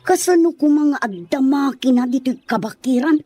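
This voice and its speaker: A woman's voice: high voice, animated, moderate pace, warm tone, breathy edge, no room sound, faint music behind. An actress playing an anxious, protective village mother in an audio drama, speaking with worried urgency. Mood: worried, urgent.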